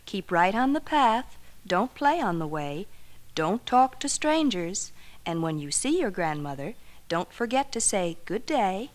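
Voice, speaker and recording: A man's voice: sits high, sing-song, a little slow, pitched above the performer's own voice. A man voicing a caring storybook mother giving careful instructions in a raised voice. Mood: instructing.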